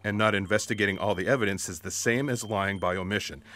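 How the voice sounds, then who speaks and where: A man's voice: lowish voice, fast talker, very noisy, natural voice. A man playing an earnest, stubborn investigator who explains things in wordy, formal logic in a sci-fi comedy audio drama.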